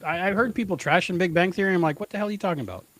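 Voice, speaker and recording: Middle aged guy podcast cohost, bright higher voice, super casual n emphatic, dry close sound. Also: rapid-fire, dry recording. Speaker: podcast co-host. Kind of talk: casual pop-culture chat.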